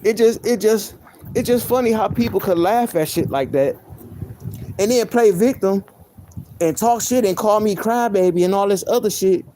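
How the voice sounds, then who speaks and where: Soft-voiced man venting, high pitch. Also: normal speed, a little hiss or hum. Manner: heated, profane, repeating himself. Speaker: livestreamer. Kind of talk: venting on a live stream.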